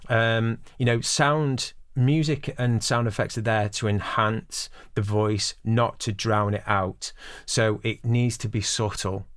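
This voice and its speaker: A man's voice: lowish voice, fairly even intonation, takes it slowly, a bit bright, clear, resonant tone, dry recording, clean studio sound. A man in his forties giving instructional advice as a voice-over producer, explaining things directly to the listener. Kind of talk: instructional talk to camera.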